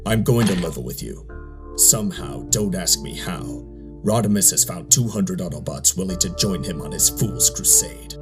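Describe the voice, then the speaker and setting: Guy doing bossy robot officer, really low voice, humorless and reproachful, loud music behind. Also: lively intonation, normal speed. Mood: stern, disappointed. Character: stern, controlling male robot military strategist. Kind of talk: motion comic voice acting.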